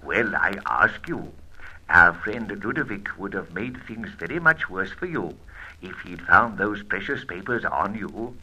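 An actor playing a suave, teasing gentleman adventurer in an old radio serial, with urbane, theatrical diction. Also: fairly low pitch, animated, unhurried, a little hiss or hum, exaggerated voice, low-quality recording. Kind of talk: old radio serial acting, with first-person narration.

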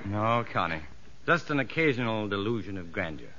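An actor playing a level-headed, earnest prison doctor who narrates a 1950s radio drama in measured, educated speech. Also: male voice, lowish voice, big pitch swings, sharp tone, a little hiss or hum. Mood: friendly, probing. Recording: dull old radio recording, faint music.

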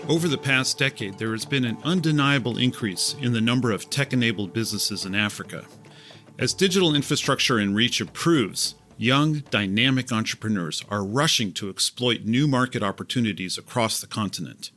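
A man hosting a podcast with a bright, forceful tone, reading his scripted narration in an even, polished way. He also puts questions to a guest, and a faint bed of music plays under his voice. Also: mid-range voice, a little fast. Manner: clear, even, polished delivery. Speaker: podcast host.

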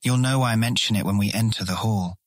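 A man's voice: lowish voice, brisk, no room sound, lightly acted. An actor playing an eager, proud young man showing a guest around in a fantasy audiobook. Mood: eager, informative. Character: eager, proud young male guild guide. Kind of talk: full-cast audiobook acting.

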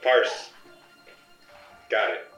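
An actor playing a cold, secretive male agent who edits code with his powers, speaking calmly and dismissively in an audio drama. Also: very slow, roomy sound, faint music behind. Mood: smug. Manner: calm, dismissive, condescending.

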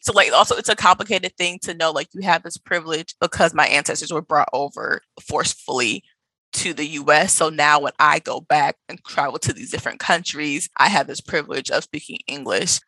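A young American woman telling her experiences candidly as a podcast guest, in an animated, emphatic conversational way. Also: deep voice, no echo, rough recording. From United States. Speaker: podcast guest, travel blogger.

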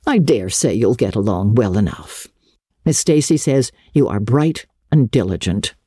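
A woman voicing a stern, practical older female guardian, brisk and no-nonsense, in a dramatic storybook reading. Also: a bass voice, lively intonation, warm tone, breathy edge, dry recording, clean studio sound, pitched below the performer's own voice, full character voice. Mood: measured, cautioning. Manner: brisk, matter-of-fact, no-nonsense. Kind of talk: dramatic audiobook reading of a classic novel.

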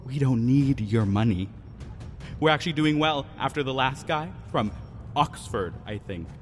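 A man's voice: medium pitch, expressive, a little hiss or hum, close to an everyday voice. An actor playing a vengeful Mayan man in an audio drama, mocking and menacing as he toys with an intruder. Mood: coldly ominous. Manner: taunting, deliberate, menacing.

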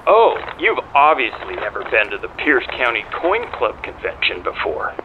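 A man's voice: medium pitch, wildly swooping pitch, normal speed, narrow, tinny audio, noisy background. A man playing a chatty, conspiratorial coin-club ticket clerk in an audio drama, with a chirpy, knowing manner. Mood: knowing, helpful.

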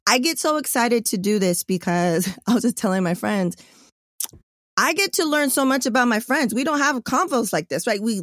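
A woman hosting a casual interview, excitable and slangy, cursing freely as she asks questions. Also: a little high, dry recording, clean studio sound. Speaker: podcast host.

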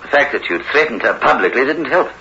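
An actor playing a concerned, authoritative prison governor with clipped, courteous official speech in a 1960s radio drama. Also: male voice, medium pitch, lively intonation, fast talker, pushed and bright. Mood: soothing, explanatory. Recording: dull, hissy old radio recording.

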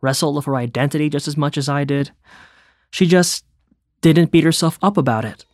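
A man's voice: a little high, normal speed, a little mellow, no echo, clean studio sound. A man narrating his own documentary story in a wry, self-deprecating way. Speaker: host and narrator.

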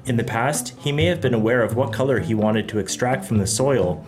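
A man's voice: mid-range voice, a little fast. A man giving a plain, advisory interview voice-over. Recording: voice-over with loud music behind.

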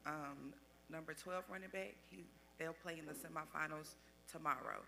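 Man, high voice, hesitant, distant mic with hum behind. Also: moderate pace, creaky, sharp tone. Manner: hesitant, filler-heavy. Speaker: audience member asking question.

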